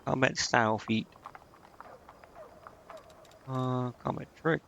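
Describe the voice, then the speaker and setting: A man's voice: medium pitch, slow, creaky, a bit bright, slight background noise. A man thinking aloud in casual, indecisive gameplay commentary, muttering to himself as he streams. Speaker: game streamer.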